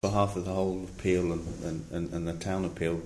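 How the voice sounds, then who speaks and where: A man with a deep, flat voice gives an official but informal welcome. He stumbles and hesitates as he goes, recorded in an echoey room with faint noise. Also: fast talker. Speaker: town official giving a welcome.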